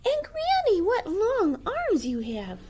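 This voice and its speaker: A woman voicing a sweet, polite little girl in a high, squeaky, breathless way. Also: huge pitch swings, slow, darkish tone, exaggerated voice. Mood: wondering.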